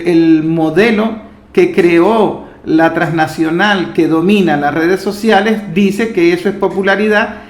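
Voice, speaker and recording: A Venezuelan man hosts an interview in a strongly echoing room. His voice sits a little high for a man. He talks conversationally, offering opinions alongside his guest. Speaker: interviewer, host. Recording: echoey, roomy sound.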